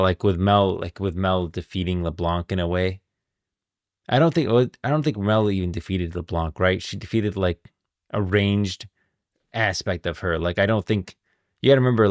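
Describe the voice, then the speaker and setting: A man's voice: sits low, no echo, clean studio sound. A man giving casual, opinionated live-stream commentary, thinking aloud with emphasis.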